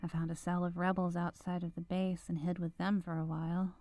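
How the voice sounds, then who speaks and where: Renegade witch, ex-soldier seeking forgiveness, played by a woman: dark, velvety, slightly low, hesitant intimate ASMR delivery. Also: level tone, a little fast, dry recording, natural voice. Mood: haunted, regretful. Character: remorseful battle-scarred renegade cyborg witch, ex-soldier. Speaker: ASMR voice performer. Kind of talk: scripted roleplay ASMR monologue. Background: background music.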